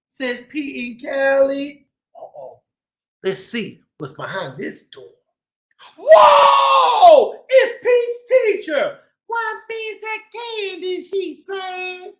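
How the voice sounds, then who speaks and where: A man's voice: very high voice, fairly bright tone. A man hosting a read-aloud for young children, playful and exclamatory with a sing-song delivery. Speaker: children's storytime host.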